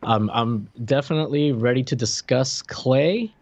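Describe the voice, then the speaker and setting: A man's voice: mid-range voice, a little slow. A man in his thirties chatting casually as a podcast co-host, teasing and joking, on a close desk microphone. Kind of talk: casual chat. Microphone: close desk microphone, video call.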